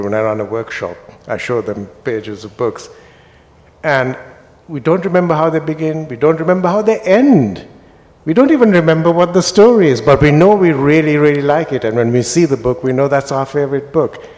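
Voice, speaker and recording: A man's voice: fairly high pitch, animated, fairly quick, a little mellow. A male author speaking on a festival panel, reflective and building to emphatic points. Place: literary festival stage. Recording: reverberant festival hall on PA.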